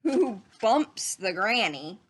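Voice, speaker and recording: A woman's voice: medium pitch, sing-song, slow pace, fairly bright tone, husky. A woman reading a picture book aloud to young children like a teacher, drawing out phrases and putting questions to her listeners.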